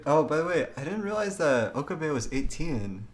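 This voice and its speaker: A man's voice: fairly high pitch, sing-song, moderate pace. A man who streams games, chatting casually and reading aloud, often giggling as he talks. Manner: giggly, breaks into laughter while talking. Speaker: game streamer. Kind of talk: casual live stream commentary with read-aloud.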